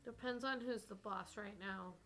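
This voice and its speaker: A woman's voice: mid-range voice, normal speed, a bit bright, a little hiss or hum. A woman bantering casually as a player in a tabletop role-playing game.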